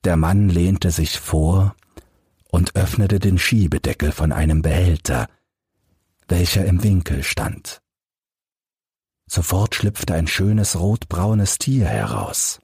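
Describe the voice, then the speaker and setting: Guy narrating an audiobook, slow deliberate storytelling, deep voice, super dry close sound. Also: lively intonation, vocal fry, a little mellow, slightly rough, no room sound.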